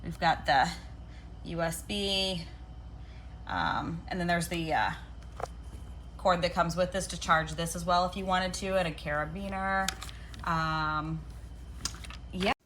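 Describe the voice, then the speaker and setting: A woman's voice: on the low side, fairly even intonation, takes it slowly, long pauses, a bit bright. Chatty woman with noisy background. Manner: chatty, rambling, thinking aloud. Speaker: product reviewer. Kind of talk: casual hands-on product demonstration.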